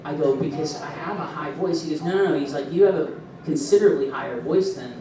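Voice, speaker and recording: A man's voice: a little high, fast, background noise. A man talking casually and animatedly at a convention panel, telling stories with frequent laughs. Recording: echoey room from far off.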